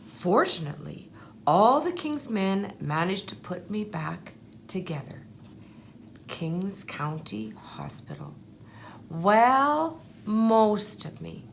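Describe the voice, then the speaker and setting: A woman voicing a humble, anxious but determined egg telling his life story in a storybook, in an earnest, measured way. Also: medium pitch, huge pitch swings, creaky, slight background noise, exaggerated voice. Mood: wry. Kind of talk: storybook first-person narration.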